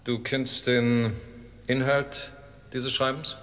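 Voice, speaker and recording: A stern grand duke, echoing hall. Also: male voice, medium pitch, level tone, takes it slowly, a bit bright. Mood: questioning. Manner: formal, authoritative questioning. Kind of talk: German audio drama acting. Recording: long hall reverb.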